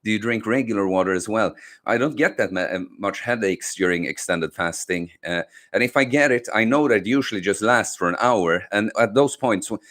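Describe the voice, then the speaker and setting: A man's voice: fairly low pitch, a little fast, a bit bright. A young man, a non-native English speaker whose first language is Swedish, hosting a casual livestream and answering questions from the chat, with many fillers and restarts.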